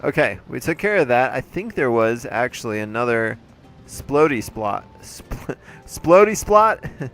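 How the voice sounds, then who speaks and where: Male let's play host, mid-pitched, congested and playful, over soft game music. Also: sing-song, a little slow. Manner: playful, chatty, jokey asides. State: congested, throat a little off. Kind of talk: casual gameplay commentary.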